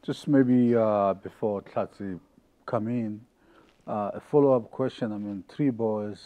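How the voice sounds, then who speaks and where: A man's voice: on the low side, unhurried, phone-quality sound. A middle-aged man hosting a casual interview, putting questions in a conversational way. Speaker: interview host.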